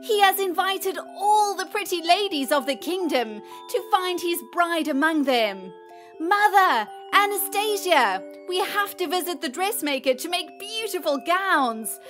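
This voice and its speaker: A woman voicing a vain, excitable young stepsister in a children's fairy tale cartoon, with a gushing manner. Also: very high voice, unhurried, soft background music, heavily acted. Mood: excited, gushing.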